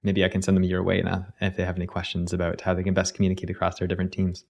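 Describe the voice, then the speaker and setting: A man's voice: deep voice, completely flat delivery, racing, vocal fry. A man hosting a podcast, upbeat and appreciative as he thanks his guest and closes the show. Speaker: podcast host. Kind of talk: interview host.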